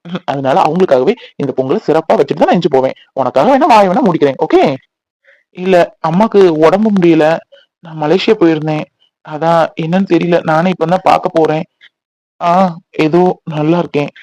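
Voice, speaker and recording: An actor playing an earnest, persistent young bridegroom in a Tamil serial, talkative and pleading in a boyish way. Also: male voice, fairly high pitch, fairly quick, darkish tone, no echo, natural voice, rough recording. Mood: stubborn, chatty. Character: earnest, persistent young bridegroom devoted to his scornful wife.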